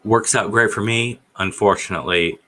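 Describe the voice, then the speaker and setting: A man's voice: lowish voice, moderate pace, sharp tone. A man in his forties hosting a casual live stream, rambling and hesitant, with many fillers. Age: 40s. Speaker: stream host.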